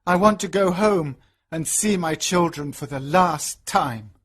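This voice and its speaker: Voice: a little slow, husky. A reader voicing a worried older father in a storybook, who talks in an anxious, pleading way. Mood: sad. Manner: pleading, anxious, with rising, lifted pitch. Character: worried old father of grown children. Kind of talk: storybook reading.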